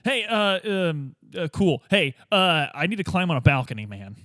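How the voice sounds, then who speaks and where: Male player, fairly high voice, very lively intonation, joking, slangy, casual improvised roleplay. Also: normal speed, no room sound, clean studio sound. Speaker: player in tabletop game podcast.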